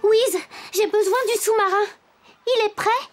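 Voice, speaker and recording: A woman's voice: very high-pitched, unhurried, faint music behind. A woman voicing a cheerful, helpful little wooden puppet boy in a children's cartoon, with an upbeat, encouraging manner. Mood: eager, encouraging.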